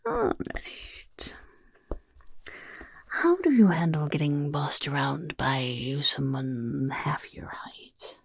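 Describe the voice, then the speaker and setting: A woman voicing a scheming little goblin overlord who interviews recruits in a soft-spoken ASMR roleplay, whispering smugly. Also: low voice, big pitch swings, drawn-out words, full character voice. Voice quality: gravelly, breathy whisper. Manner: whispery, smug, mischievous questioning. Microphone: close ASMR mic.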